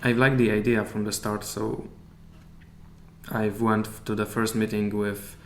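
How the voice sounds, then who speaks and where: Hesitant Polish-accented man, flat low voice. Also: a little slow. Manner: hesitant, often searching for English words. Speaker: guest. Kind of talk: casual interview chat. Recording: echoey room with faint hiss.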